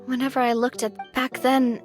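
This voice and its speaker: A gentle young adventurer voiced by a woman talks in a high, earnest, somewhat hesitant voice. It is a video game performance over faint music. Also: flat delivery, moderate pace, natural voice. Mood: regretful.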